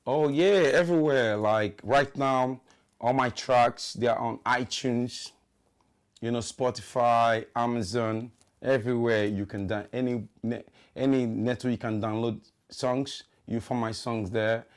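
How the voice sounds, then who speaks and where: A man's voice: medium pitch, fairly even intonation, measured pace, clear, resonant tone. A young Nigerian man, a musician guest, talking loosely in a casual interview and often saying "you know what I mean".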